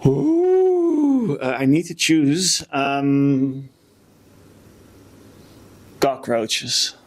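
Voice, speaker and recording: A man's voice: fairly high pitch, sing-song, extremely slow, a little mellow. Casual, jokey man co-hosting a podcast. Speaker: podcast co-host. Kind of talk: casual, unscripted podcast banter.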